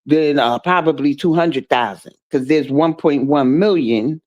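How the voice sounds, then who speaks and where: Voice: mid-range voice, unhurried, a little mellow, lo-fi sound. A channel host giving blunt, sarcastic reaction commentary on video clips in a loose conversational way.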